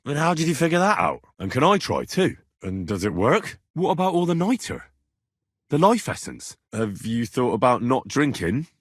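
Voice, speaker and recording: A man playing a plucky, wry young medieval adventurer in video game dialogue, conversational and dry, with a slightly husky voice. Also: mid-range voice, very animated, moderate pace, dry recording. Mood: curious, questioning.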